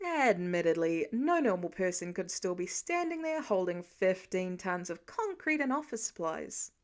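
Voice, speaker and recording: A woman reading fan fiction aloud as an expressive, wry storyteller. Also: on the high side. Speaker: amateur audiobook narrator. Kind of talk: scripted fiction narration.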